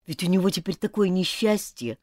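A woman's voice: fairly low pitch, lively intonation, fairly quick, a little mellow, dry recording, clean studio sound, lightly acted. A woman reading aloud, voicing a guilty schoolboy who speaks in a dull, mournful way. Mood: ashamed. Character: guilt-ridden young schoolboy, ashamed, confessing.

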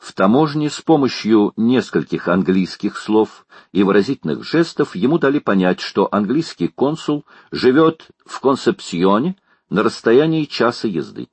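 A man reading a classic adventure novel aloud as an audiobook narrator, in a measured, even storytelling manner. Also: fairly low pitch, slightly rough, no room sound.

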